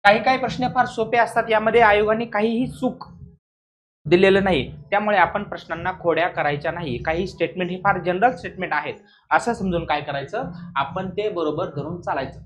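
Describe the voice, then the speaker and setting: A man's voice: high voice, a little fast. A man teaching an exam lesson in a didactic way, repeating key points and often asking short questions to check understanding. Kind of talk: explanatory classroom lesson.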